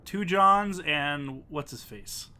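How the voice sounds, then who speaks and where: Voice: medium pitch, slow, sharp tone, clean tone. A host from the Pacific Northwest chatting casually with friends over a voice call before a session, easygoing and laughing often. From Pacific Northwest, USA. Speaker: host of the playthrough.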